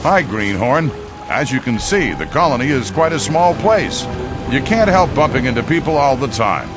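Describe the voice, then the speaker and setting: A man's voice: mid-range voice, sing-song, normal speed, lightly acted. A man playing a friendly, seasoned hunter in a video game, hearty and chummy in manner. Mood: relaxed, chummy. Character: friendly seasoned male hunter, cheerfully bold fighter. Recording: loud music behind the voice.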